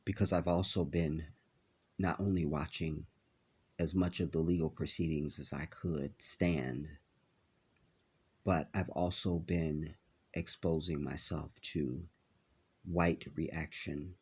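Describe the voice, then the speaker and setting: A man talking to his viewers in a halting vlog, with a nasal, congested voice as he recovers from an illness. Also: very deep voice, steady tone, darkish tone, breathy edge. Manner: halting, many filler pauses. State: stuffy, congested after illness. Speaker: vlogger. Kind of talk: casual vlog talk to viewers.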